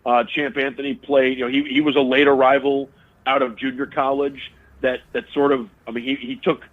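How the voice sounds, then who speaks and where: A man's voice: mid-range voice, level tone, fairly quick. A male radio sports broadcaster, a guest on a sports talk show, who breaks down teams and players analytically and restarts and repeats words often. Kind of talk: casual sports talk interview. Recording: tinny phone line.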